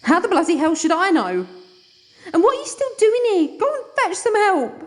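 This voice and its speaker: A woman's voice: very high voice, sing-song, normal speed. An actress playing a fierce, caring female spaceship crew member in an audio drama. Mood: alarmed, irritated.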